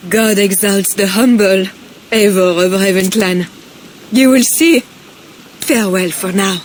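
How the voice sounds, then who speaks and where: A woman voicing a gentle, devout noble queen. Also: medium pitch, fairly even intonation, takes it slowly, a little hiss or hum, lightly acted. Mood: earnest. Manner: soft, earnest and devout. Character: pious, gentle noblewoman estranged from her husband. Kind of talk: video game cutscene acting.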